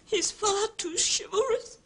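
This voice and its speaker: An actress playing an anxious, genteel widow in a period drama, halting and pleading as she confides. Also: very high voice, fairly bright tone, heavily acted. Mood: tearful, confiding. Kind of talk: British television period drama acting.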